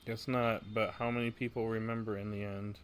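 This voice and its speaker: An actor playing a calm, reserved young male companion in a video game. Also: fairly low pitch, fairly quick, clean tone, lightly acted. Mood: reflective. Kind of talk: scripted video game dialogue acting. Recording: game music behind the voice.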